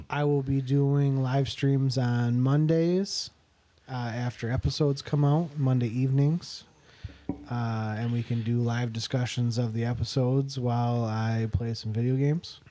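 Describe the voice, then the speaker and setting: A man with a mellow, warm voice hosts a relaxed podcast chat among friends. He talks at an unhurried middle pitch, stopping often on little fillers as he works through his intro. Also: highs cut off. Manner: hesitant, many filler pauses. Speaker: podcast host.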